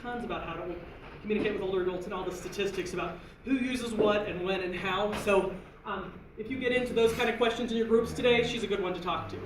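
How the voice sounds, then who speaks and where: A woman's voice: mid-range voice, steady tone, quick pace. A woman presenting survey results to a meeting, chatty and laughing often. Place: meeting room. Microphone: room mic from afar. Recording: roomy, distant, noisy room recording.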